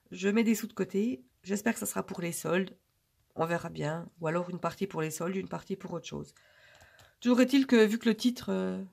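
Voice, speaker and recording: A woman with a hoarse, husky voice from a cold, chatting casually and rambling to her viewers with many pauses. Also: mid-range voice. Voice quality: gravelly. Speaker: budgeting vlogger.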